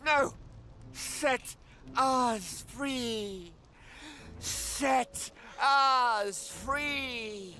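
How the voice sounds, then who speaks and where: An actor playing a hideous, mad caged creature in a video game, pleading in a drawn-out, erratic way. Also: medium pitch, pushed and bright. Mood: frantic demanding.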